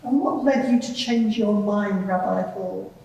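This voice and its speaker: A woman playing a measured, probing advocate who formally questions a witness in a courtroom drama. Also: medium pitch, a little mellow, natural voice. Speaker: questioning lawyer at a dramatised inquiry. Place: large reverberant courtroom before a live gathering. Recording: echoey hall, distant mic, faint noise.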